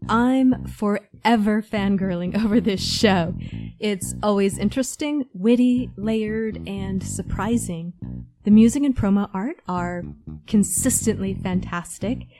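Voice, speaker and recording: Mellow woman reading out her written recommendations, articulate, into it, soft guitar behind. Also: mid-range voice, a little slow. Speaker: listener reviewing favourite audio dramas. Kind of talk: scripted review narration. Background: faint plucked guitar music.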